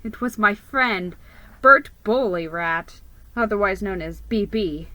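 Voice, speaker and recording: A woman reads a children's story aloud with theatrical expression. Her voice is bright and slightly high, the delivery unhurried, and the sound close and dry. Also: expressive, no echo, low-quality recording. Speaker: story reader. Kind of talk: dramatic children's story reading.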